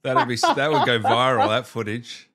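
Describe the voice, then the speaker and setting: A man in his thirties hosts a casual online interview. His voice sits at a middle pitch, and he talks conversationally into a close desk microphone in a small home studio. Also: moderate pace, fairly bright tone. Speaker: interview host.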